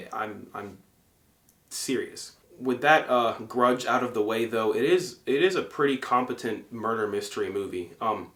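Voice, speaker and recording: A man's voice: medium pitch, a bit bright. Young male reviewer from Egypt, loose and conversational, roomy echo on the voice. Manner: conversational, frequent fillers and self-corrections. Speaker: movie reviewer. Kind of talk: casual review monologue.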